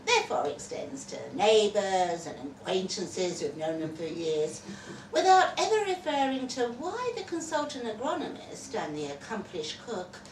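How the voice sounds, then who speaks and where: A woman giving an expressive live reading of a story, with wry delivery and dramatic pauses. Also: mid-range voice. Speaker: reader of a short story at a festival. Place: live festival reading in an echoey room. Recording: echoey venue, far from mic, noisy.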